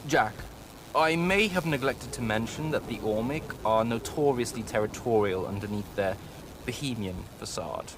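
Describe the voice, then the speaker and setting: An actor playing a haughty, long-winded battlemage in a video game, speaking in a wordy, sardonic, mannered way. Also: male voice, medium pitch, moderate pace, noisy background, close to an everyday voice. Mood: scornful. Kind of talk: game cutscene acting.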